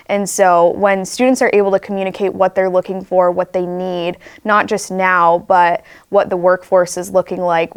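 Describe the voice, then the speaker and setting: A woman's voice: fairly low pitch, clear, resonant tone. A young woman from South Dakota, vice president of the student government, giving thoughtful, earnest answers with casual filler words. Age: young adult. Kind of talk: interview answers.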